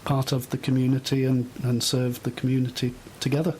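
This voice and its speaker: A middle-aged man giving thoughtful, halting answers as a guest in an unscripted radio interview. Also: medium pitch, monotone, warm tone, slight background noise, poor audio. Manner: hesitant, thoughtful, halting phrases. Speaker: interview guest, volunteer host.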